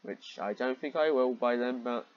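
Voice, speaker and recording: A man reading fan fiction aloud with sarcastic, exasperated asides, often clearing his throat. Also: medium pitch, normal speed. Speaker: host and reader of fan fiction. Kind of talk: read-aloud of fan fiction with sardonic commentary. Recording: dull, muffled lo-fi microphone.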